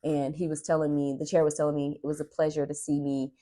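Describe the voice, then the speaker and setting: A young woman chatting casually as a vlog host, telling a story in an animated, dramatic way with emphasized words. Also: sits low, fast talker. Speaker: podcast host and aspiring actress.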